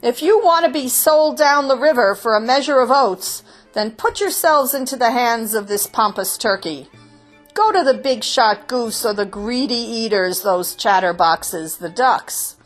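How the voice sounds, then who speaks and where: A woman voicing a fiery, combative red rooster who shouts his speech to the other fowl. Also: animated, unhurried, faint music behind. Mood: indignant. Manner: shrill, fierce crowing shouts.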